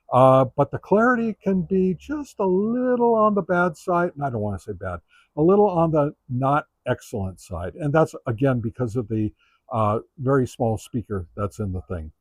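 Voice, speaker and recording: A man giving a casual, unscripted product review as host, talking in a halting, conversational way as he thinks aloud. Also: medium pitch, darkish tone, no room sound.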